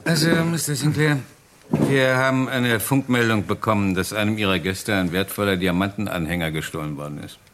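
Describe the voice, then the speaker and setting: A calm police inspector with a fairly low voice. He questions in a courteous, reassuring and methodical way, in the manner of a radio crime play. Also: a little fast, a little hiss or hum. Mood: businesslike. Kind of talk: German radio crime drama acting.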